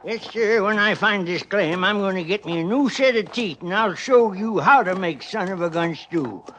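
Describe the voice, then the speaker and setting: Old frontier miner, folksy, rambling, American Western accent, high pitch, radio drama, noisy vintage recording. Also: male voice, normal speed, fairly bright tone. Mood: folksy, amused. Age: older. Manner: folksy, chuckling, coughing, rambling. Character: cheerful old Wild West miner with a balky mule.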